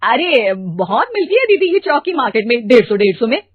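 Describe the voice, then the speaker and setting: Woman acting a stingy, giggly, high-pitched sister-in-law. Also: very animated, brisk, husky, dry recording, narrow, tinny audio. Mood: cheeky, wheedling. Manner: exclaiming, giggly, mock-innocent. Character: stingy, gleeful, wheedling young Indian sister-in-law, shamelessly cheeky.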